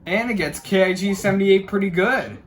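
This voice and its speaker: A man's voice: high-pitched, fairly quick. A man hosting a casual, rambling unboxing video in an informal way. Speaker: video host. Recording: noisy home recording with clicks.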